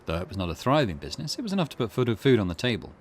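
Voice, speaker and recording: Lively man reading game text aloud. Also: mid-range voice, racing, slight background noise. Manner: lively, thinking aloud with hesitations. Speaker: gaming channel host.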